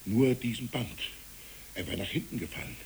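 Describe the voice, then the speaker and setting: A man playing a troubled, gentle old village pastor in a German radio play, hesitant and brooding, moving between murmur and declamation. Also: mid-range voice, normal speed, gravelly, a little hiss or hum, lightly acted. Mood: agitated.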